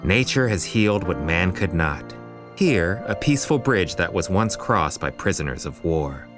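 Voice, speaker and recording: Deep male narrator, measured and poetic, expressive travelogue delivery over prominent music. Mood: lyrical, reflective. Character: poetic, reflective male storyteller guiding a city tour. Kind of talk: scripted lyrical travelogue narration in an audio drama. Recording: music under the voice.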